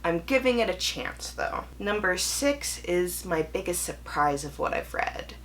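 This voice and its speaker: A young woman with a fairly low-pitched voice talks as a book reviewer hosting her own video. Her delivery is casual and conversational, candid and chatty, and she corrects herself as she thinks aloud. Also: lively intonation, measured pace, fairly bright tone, slight background noise, rough recording. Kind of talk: casual chatty vlog monologue.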